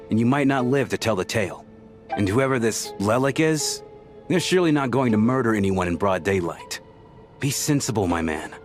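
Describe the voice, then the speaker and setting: Man acting a mid-pitched, level-headed game guy. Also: lightly acted. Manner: casual, cautioning. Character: laid-back, level-headed man calming others.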